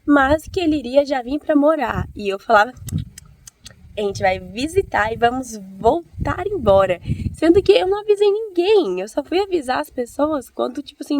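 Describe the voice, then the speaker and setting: A hoarse woman with a Brazilian accent who has a cold, telling her story in an earnest, chatty vlog as a church-minded host. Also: high voice, expressive, moderate pace, a little mellow. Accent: Brazilian Portuguese. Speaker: evangelical video host. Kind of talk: casual testimony vlog.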